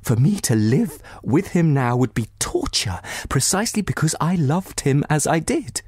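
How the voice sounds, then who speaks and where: A man voicing a distraught, bitter betrayed wife in a novel, near tears, with anguished, halting and emphatic delivery. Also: on the high side, big pitch swings, a little mellow, husky, dry recording, clean studio sound, pitched above the performer's own voice.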